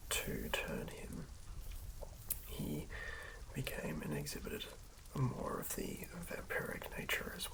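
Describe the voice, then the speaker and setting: A person whispering softly in an ASMR style, narrating a tabletop character build in a breathy, creaky hush. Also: medium pitch, low-quality recording. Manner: hushed whispering. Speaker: ASMR creator.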